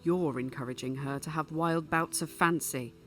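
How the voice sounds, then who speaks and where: A man's voice: on the high side, steady tone, moderate pace, a bit bright, natural voice. Man playing a prim, exasperated young scholar. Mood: exasperated, scolding. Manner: prim, wordy, scolding. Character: stern, sceptical, studious young male student, easily exasperated. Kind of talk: audio drama acting. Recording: music under the voice.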